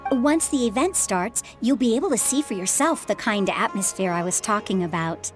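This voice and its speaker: A kindly widowed adventurer played by an actress speaks at a medium pitch. Her manner is gentle and accepting, in video game style, with faint music behind. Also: a little fast, natural voice. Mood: wistful, calm. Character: kind, resigned widowed former adventurer woman.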